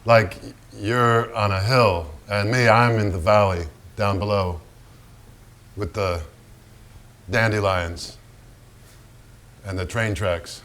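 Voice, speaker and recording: An actor playing a hard-drinking, menacing and self-pitying painter in a theatrical staged reading, blunt and brooding. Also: male voice, lowish voice, steady tone, slow, long pauses, a bit bright. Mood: brooding, accusing. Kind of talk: theatrical staged reading, narration mixed with dialogue.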